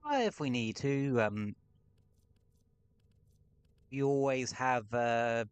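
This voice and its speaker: A man's voice: medium pitch, lively intonation, slow, clean tone, no room sound. A man streaming a game, talking casually in rambling, sweary asides at home. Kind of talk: casual gameplay commentary. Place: home room with window open.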